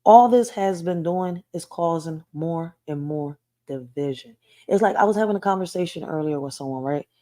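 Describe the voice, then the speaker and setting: Host, woman from Puerto Rico, Philadelphia accent, lowish voice, rambling casual chatter full of "right?". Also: a little mellow. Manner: rambling, says "right?" often. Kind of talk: casual commentary.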